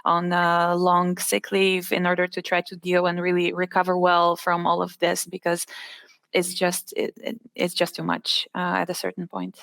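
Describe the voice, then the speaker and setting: Low, flat-voiced woman with many pauses. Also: gravelly, no echo. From Bulgaria. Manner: hesitant, many fillers and restarts. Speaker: patient advocate guest. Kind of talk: online panel interview.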